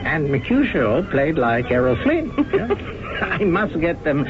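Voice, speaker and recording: An actor playing a gushing, theatrical theatre director. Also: male voice, a little high, wildly swooping pitch, a little slow. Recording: dull old radio broadcast with music.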